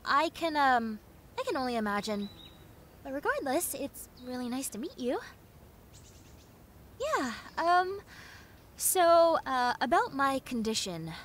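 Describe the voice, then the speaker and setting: A woman's voice: high voice, a bit bright, a little hiss or hum, full character voice. A woman voicing a clumsy, friendly young female zombie in an audio roleplay, rambling expressively with plenty of ums and dramatic emphasis. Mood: nervous, friendly. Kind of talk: character roleplay audio drama, one-sided conversation.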